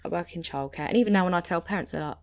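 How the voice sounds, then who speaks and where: A woman's voice: on the low side, rapid-fire. A young woman, a mother and podcast guest, speaking emphatically in a casual chat with a big, carrying voice. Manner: emphatic, animated, loud when quoting people.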